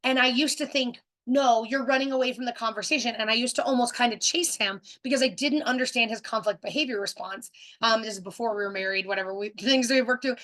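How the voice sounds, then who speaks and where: A woman with a somewhat high voice hosts a casual webinar. She speaks directly and with big energy, often restarting her sentences and padding them with fillers. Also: fairly bright tone. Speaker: webinar host. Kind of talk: casual teaching talk.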